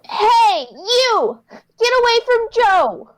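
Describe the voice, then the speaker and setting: A woman's voice: very high-pitched, big pitch swings, extremely slow, full character voice. A woman playing a bratty, tough-talking little boy, defiant and slangy, in an old-time radio comedy. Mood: bossy, defiant.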